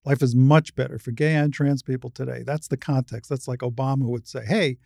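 Creaky-voiced older woman explaining emphatically. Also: rumbling low, steady tone, fairly quick, a little mellow, dry recording, studio quality. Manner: emphatic, didactic, explains step by step. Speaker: interview guest, retired surgeon and advocate. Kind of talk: explanatory conversational interview.

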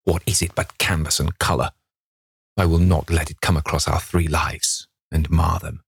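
A man voicing a sensitive male painter, earnest and emotional, halting when upset, in a novel reading. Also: a bass voice, flat delivery, vocal fry, husky, no room sound, studio quality, full character voice. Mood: bitter.

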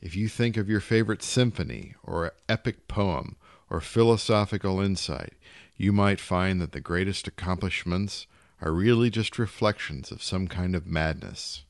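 Guy reading a short story, deep voice, dry close studio sound. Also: dry recording. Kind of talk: literary short story narration.

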